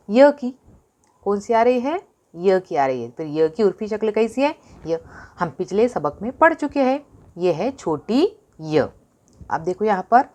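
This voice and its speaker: A woman teaching a recorded Urdu lesson to young children, with an Indian Urdu accent, asking questions and answering them herself, stretching and repeating words slowly. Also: medium pitch. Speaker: primary school Urdu teacher.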